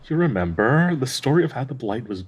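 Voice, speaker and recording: A man playing a gentle, grieving dryad in an intimate audio roleplay, speaking in a tender, halting, wistful way with a husky, breathy voice. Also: medium pitch, big pitch swings. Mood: troubled, sorrowful. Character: gentle, grieving male dryad devoted to a sick companion.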